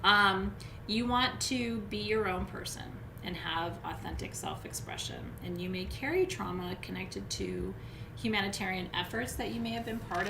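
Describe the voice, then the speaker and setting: Hoarse woman, spiritual teacher, chatty live talk with lots of ums, roomy echo, steady background noise. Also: mid-range voice. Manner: chatty, loose, many "um"s and restarts. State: hoarse, voice wearing out. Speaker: spiritual teacher reading astrology.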